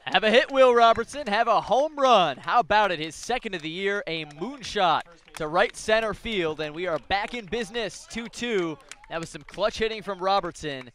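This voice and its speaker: A man's voice: high voice, animated, normal speed, a bit bright. A man from Chicago giving informal, conversational color commentary on a radio baseball broadcast. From Chicago, United States. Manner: informal, colloquial remarks.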